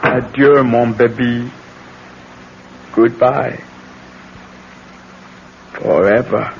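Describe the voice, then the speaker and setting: A performer playing a solemn, vengeful island aunt steeped in voodoo, speaking gravely and deliberately in a 1970s radio drama. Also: mid-range voice, steady tone. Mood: grave, ominous. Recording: muffled, hissy 1970s radio broadcast recording.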